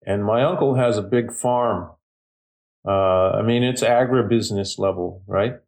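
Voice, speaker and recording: A middle-aged man from Japan recounts a strange event in slow, unhurried speech. His voice sits a little low, and he often pauses, restarts and fills gaps with "uh" and "you know". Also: darkish tone. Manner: slow, halting, many fillers and restarts. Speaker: guest telling a ghost story. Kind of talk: casual storytelling.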